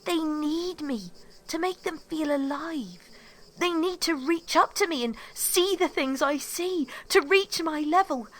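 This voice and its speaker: An actress playing an earnest, passionate young dreamer in an audio drama. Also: female voice, sits high, unhurried. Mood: earnest admiration. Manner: breathless, earnest, intense. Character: starry-eyed, passionate young woman dreaming of fame. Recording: outdoor hiss with chirping insects.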